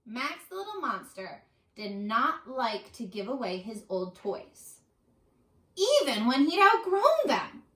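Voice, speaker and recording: Bright, animated woman teaching young children. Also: on the high side, takes it slowly. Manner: addresses young listeners directly, animated and instructional. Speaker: teacher reading aloud to children. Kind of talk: children's read-aloud lesson with questions. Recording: roomy, echoing sound.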